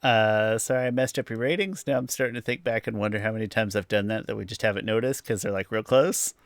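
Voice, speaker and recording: A man's voice: fairly low pitch, quick pace, dry recording, clean studio sound. A man hosting a podcast, chatting casually through a review in an informal, self-deprecating way and thinking aloud. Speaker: podcast host.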